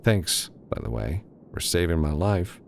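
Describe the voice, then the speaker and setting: A gruff, weary loner of a space cowboy , acted by a male narrator. He grumbles dryly in a very low, gravelly voice, with sardonic understatement and faint noise behind. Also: big pitch swings, measured pace, exaggerated voice. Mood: weary grumbling. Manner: grumbling, dry.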